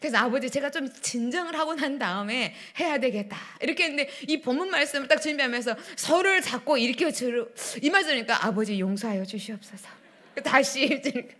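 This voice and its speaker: A middle-aged woman pastor preaching a sermon at a church pulpit, swinging between loud declarations and hushed asides. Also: high-pitched, lively intonation, fairly quick. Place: church sanctuary, standing at pulpit. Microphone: pulpit microphones.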